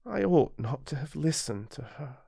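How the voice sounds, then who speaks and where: A man's voice: on the high side, animated, normal speed, creaky, mellow, husky, voice pushed up, heavily acted. A British man voicing a tender, earnest young boy prince with a wistful manner. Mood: regretful.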